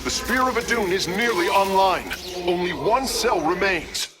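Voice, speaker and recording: An actor playing a dutiful, scholarly alien engineer in a video game, speaking formally and with measured deference. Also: male voice, sits high, expressive, background noise. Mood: cautioning. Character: dutiful, scholarly male alien engineer aboard an ancient warship. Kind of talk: video game dramatic acting. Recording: loud music behind the voice.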